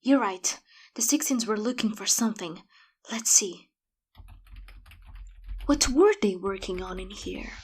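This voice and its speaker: An actress playing a jaded, dryly sardonic veteran agent, husky and clipped, in audio drama dialogue. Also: female voice, mid-range voice, unhurried, long pauses. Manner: dry, clipped, deadpan.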